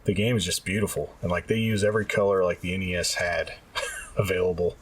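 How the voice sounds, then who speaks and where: Man, lowish voice, a bit off-mic, podcast co-host, casual halting chat. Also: fairly even intonation, slight background noise. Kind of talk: casual video game chat. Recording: slightly distant from the mic.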